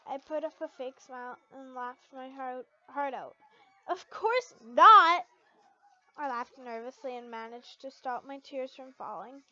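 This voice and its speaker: A woman's voice: high voice, fairly even intonation, moderate pace, hardly any pauses. A young woman narrating fan fiction and chatting casually to her channel's followers. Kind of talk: expressive first-person story narration and casual vlog outro. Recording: dull lo-fi home recording.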